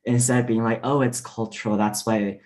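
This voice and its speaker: A man whose first language is Mandarin, speaking as a diplomat on a panel discussion, relaxed and full of fillers. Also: mid-range voice, fairly quick. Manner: relaxed, many fillers and restarts.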